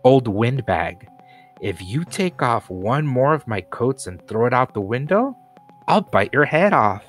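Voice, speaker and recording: A man's voice: medium pitch, big pitch swings, measured pace, soft background music. A man voicing a rude, smelly rat in raincoats with a screechy, hissing voice for a storybook. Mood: threatening.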